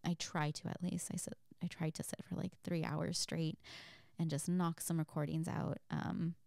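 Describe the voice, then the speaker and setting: A woman chatting casually as a host, reading out and answering listener questions in a soft, giggly, rambling and hesitant way. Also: low-pitched, flat delivery, moderate pace, vocal fry, dry recording, clean studio sound. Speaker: audio creator and host.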